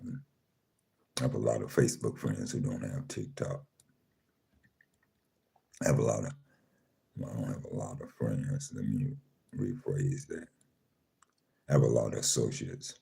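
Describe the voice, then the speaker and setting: Super deep gravelly guy running a live chat room, slow and calm, welcoming folks by name. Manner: slow, calm, repeats himself, reads names off a screen. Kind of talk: casual livestream chat, greeting listeners.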